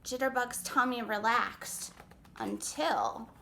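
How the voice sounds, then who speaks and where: A high-voiced woman narrates a picture book for children. She reads slowly and expressively, leaving dramatic pauses between phrases. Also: vocal fry, sharp tone, a little hiss or hum, rough recording. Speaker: children's author reading aloud.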